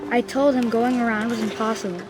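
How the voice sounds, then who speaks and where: A woman's voice: a little high, fairly even intonation, fairly quick, natural voice, low-quality recording. A woman playing a solemn young boy in a game, telling of his brother in a plain, reflective way. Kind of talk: scripted storytelling narration. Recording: music behind the voice. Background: prominent music.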